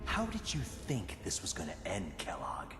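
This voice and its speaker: An actress playing a vengeful criminal in a video game, taunting an enemy with menace. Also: female voice, very deep voice, wildly swooping pitch, normal speed, over loud music, heavily acted. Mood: gloating menace. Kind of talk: video game villain dialogue. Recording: echoey hall, noisy game audio.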